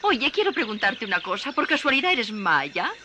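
A woman's voice: on the high side, big pitch swings, moderate pace. A woman voicing a small, timid, curious insect in a classic children's cartoon dub. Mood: curious, awed. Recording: very noisy old cartoon soundtrack.

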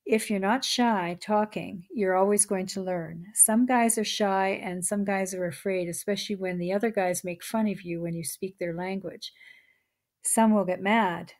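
A woman reads her own nonfiction book aloud in a steady, measured delivery. Her voice sits at an ordinary middle pitch for a woman.

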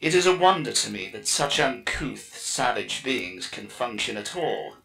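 Haughty alien swordswoman for audiobook male performer: contemptuous killer, gravelly, forceful edge, measured disdain, processed voice. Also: mid-range voice, lively intonation, soft background music, heavily acted, low-quality recording. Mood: scornful wonder. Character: haughty, scornful alien female warrior elder, cold killer. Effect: voice effect on dialogue.

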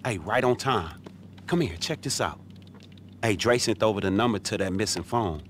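Male actor as streetwise fixer, middle-aged man, lively swings, blunt slang, exasperated, video game, faint noise. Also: on the low side, normal speed, lightly acted. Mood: confident. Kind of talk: video game cutscene acting.

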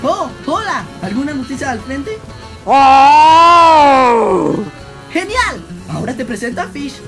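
A man's voice: very high-pitched, very animated, normal speed, over loud music. A man voicing a manic young gangster cat in a cartoon, florid, theatrical and gleefully wordy. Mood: manic glee. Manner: theatrical, florid, mock-philosophical. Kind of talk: animated fan comic dub. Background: rain.

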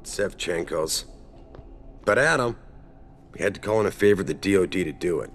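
Low-voiced, paternal boss. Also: male voice, animated, moderate pace, faint music behind. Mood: urgent, commanding. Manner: familiar, commanding. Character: brisk, paternal middle-aged male corporate boss. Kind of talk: video game cutscene acting.

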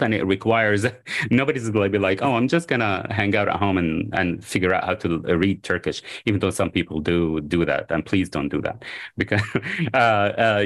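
Low-voiced man on a video call. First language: Arabic. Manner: rambling, many fillers and self-corrections, chuckles. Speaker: historian guest. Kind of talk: conversational interview answer. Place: home room by a window.

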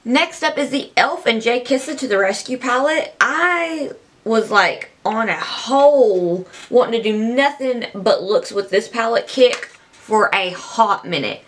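Young woman beauty vlogger, scratchy allergy voice, rambling, excited, small room, close camera. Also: fairly high pitch, a little slow. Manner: chatty, rambling, enthusiastic. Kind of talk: casual talk to camera.

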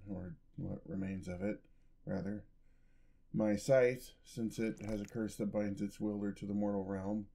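Guy doing a clumsy sweet dullahan boyfriend for asmr roleplay, mellow and kinda gravelly, sheepish. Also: on the low side. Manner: soft-spoken, sheepish, hesitant and affectionate. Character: gentle, clumsy male dullahan boyfriend, centuries old. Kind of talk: ASMR roleplay, intimate acted monologue.

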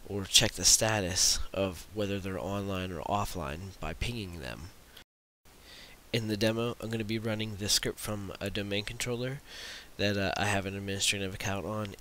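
Casting: male tutorial narrator, low and crisp-edged, informal and unhurried, casual screen-demo delivery. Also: bright, forceful tone. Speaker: tutorial presenter demonstrating own script. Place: voice-over for a screen recording. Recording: hissy voice-over recording.

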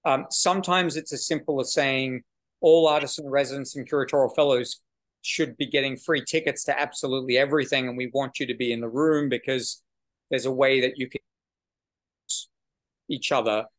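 A man in his forties, the director of an arts venue, answering a question on a video call in a measured, slightly halting way. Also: medium pitch, fairly even intonation. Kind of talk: panel conversation on a video call. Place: small room with brick wall. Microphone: video call webcam.

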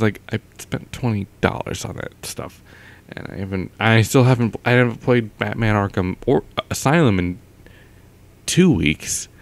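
A man's voice: on the low side, vocal fry. A young man rambling casually into a close mic with a pop filter at home, full of fillers and trailing thoughts. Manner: meandering, lots of fillers and trailing off. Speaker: audio vlogger. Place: home, indoors.

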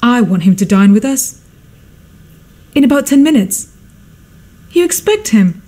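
An actress playing a fussy, imperious, status-hungry society mother in a radio drama, theatrical and exclamatory, with a husky voice. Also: a little high, sing-song, a little slow, warm tone. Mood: urgent.

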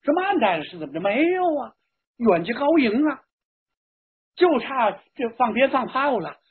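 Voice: mid-range voice, fast talker, husky, narrow, tinny audio. A storyteller voicing indignant village relatives in a traditional tale, blustering with exclamations and rhetorical questions. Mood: baffled, indignant.

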